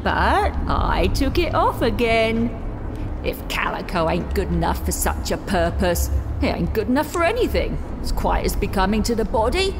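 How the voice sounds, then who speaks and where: A woman's voice: medium pitch, big pitch swings, normal speed. An actress playing a brazen, callous charwoman in an audio drama. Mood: brazen, gloating. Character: brazen, callous charwoman selling a dead man's goods. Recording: reverberant, loud music underneath. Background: music.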